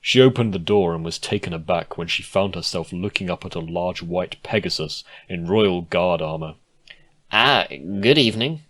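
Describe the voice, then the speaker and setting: A man's voice: low voice, steady tone, moderate pace, few pauses. A man reading a scripted story aloud as its narrator. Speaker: fan fiction narrator.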